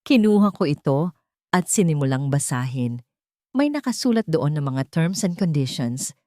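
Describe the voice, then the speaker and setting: A woman narrates a dramatic story in the first person, in a slightly low voice. The sound is dry and close, and the delivery is that of a scripted audio drama. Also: big pitch swings, moderate pace, darkish tone, no echo.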